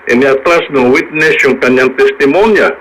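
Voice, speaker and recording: An actor with a Filipino accent playing a calm, reassuring attorney who explains things in a measured radio drama manner. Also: male voice, medium pitch, close to an everyday voice, rough recording. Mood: matter-of-fact. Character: calm, reassuring male attorney bringing good news.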